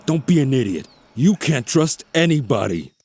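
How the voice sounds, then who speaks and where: A man's voice: mid-range voice, very animated, normal speed, darkish tone. A man playing a shadowy, distrustful voice inside someone's head, harsh and scolding, with faint noise behind. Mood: scornful. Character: dark, scornful, distrustful negative voice in someone's head.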